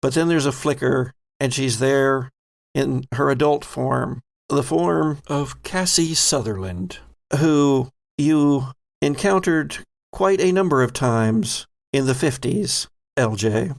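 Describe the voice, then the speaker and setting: Slow, gravelly male game master. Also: medium pitch. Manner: deliberate, dramatic storytelling. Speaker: game master, podcast host. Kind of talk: narrating a tabletop horror game.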